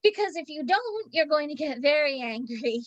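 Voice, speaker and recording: A woman's voice: high voice, lively intonation, normal speed, lo-fi sound. A woman playing a naive, anxious amateur panto actress in a read-through of a stage comedy. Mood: dreading, apologetic. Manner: hesitant, naive, dithering. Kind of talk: play reading of a stage comedy.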